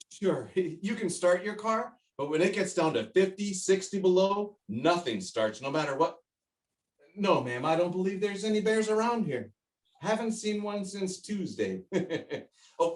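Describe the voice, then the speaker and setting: A man's voice: high voice, reverberant, recorded from a distance. An actor in a stage play playing a chatty, easily sidetracked Alaskan roadhouse tour guide who heartily herds his customers along. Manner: rambling, easily sidetracked, hearty when calling folks.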